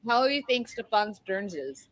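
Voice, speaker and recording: A woman's voice: medium pitch, very animated, a little fast, fairly bright tone, low-quality recording. A woman of forty-eight chatting on a panel, teasing and retelling what others said. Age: 40s. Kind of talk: casual panel chat.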